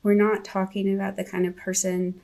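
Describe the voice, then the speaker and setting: Gravelly-voiced woman, warm and chatty. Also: mid-range voice, monotone, normal speed, faint music behind. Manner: warm, chatty, laughs often. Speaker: guest, school district administrator. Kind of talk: casual interview chat.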